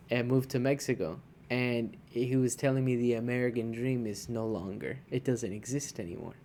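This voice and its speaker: Relaxed, mellow-voiced podcast co-host. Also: male voice, mid-range voice, moderate pace, a little hiss or hum. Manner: conversational, checks in with "right?", restarts often. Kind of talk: casual podcast chat.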